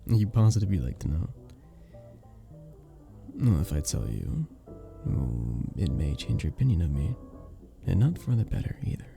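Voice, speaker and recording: A man voicing a charming, modest vampire bartender in a soft-spoken ASMR roleplay, with a gentle, polite and slightly formal manner. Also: extremely low pitch, sing-song, moderate pace, creaky, long pauses, music in the background, exaggerated voice. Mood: wistful, hesitant. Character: charming, sweet, modest young-looking male vampire bartender. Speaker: voice actor in an audio roleplay.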